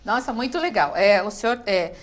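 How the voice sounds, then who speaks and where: A woman's voice: medium pitch, animated, normal speed. A woman teacher hosting an educational interview, asking questions politely and formally with many hesitant fillers.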